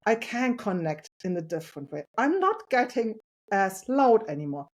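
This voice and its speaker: A woman's voice: medium pitch, big pitch swings, normal speed. Earnest reflective woman guest. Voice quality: loud voice. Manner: earnest and reflective, with light laughs. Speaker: podcast guest, parent. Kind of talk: casual interview conversation.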